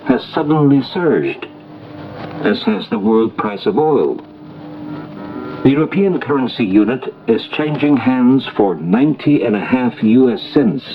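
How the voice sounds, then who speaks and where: Muffled shortwave radio recording, faint noise, male newsreader, formal scripted bulletin, measured delivery. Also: mid-range voice.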